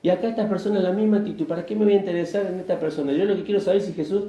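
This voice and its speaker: An Argentine pastor delivers a conversational sermon, often turning to the congregation with questions and slipping into short acted-out exchanges. His voice sits a little high but sounds mellow. The church room adds a roomy echo, with faint background ambience. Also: steady tone, racing, muffled recording. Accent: Argentine Spanish. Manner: conversational preaching, asks the congregation questions, acts out bits of dialogue. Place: church hall.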